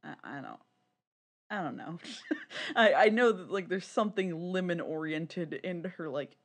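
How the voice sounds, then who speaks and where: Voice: medium pitch, expressive, unhurried. A podcast co-host chatting casually in a rambling, candid, giggly way.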